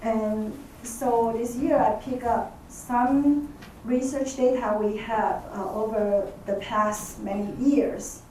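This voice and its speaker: A woman's voice: on the high side, fairly even intonation, slow, dark tone. A woman with a Taiwanese accent gives an academic conference presentation as a professor, hesitating and repeating phrases as she searches for words. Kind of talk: conference presentation, semi-spontaneous. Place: echoey conference room. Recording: echoey room, distant mic, faint noise.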